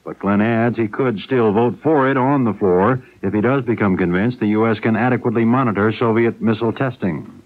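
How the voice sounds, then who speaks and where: A man's voice: lowish voice, fairly quick. An American man anchoring radio news from a script. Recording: lo-fi 1970s radio broadcast.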